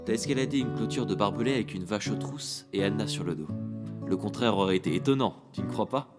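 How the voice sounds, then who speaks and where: A man playing a tender, playful father who gently teases his young daughter in a French audio drama. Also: on the low side, quick pace, close to an everyday voice. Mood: gently teasing. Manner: gentle, teasing. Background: guitar music.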